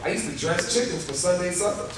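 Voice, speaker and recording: Dismissive, brisk-mannered father male actor, slightly high voice, live radio play, far from mic in echoing hall. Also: lively intonation. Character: brusque, dismissive farm-raised father. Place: live stage in arts center. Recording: echoey hall, distant, loud noise.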